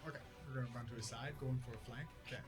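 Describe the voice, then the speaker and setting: A man running a tabletop game as dungeon master, narrating and chatting in an informal, animated way. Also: mid-range voice, very fast. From Canada. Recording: music behind the voice. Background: background music.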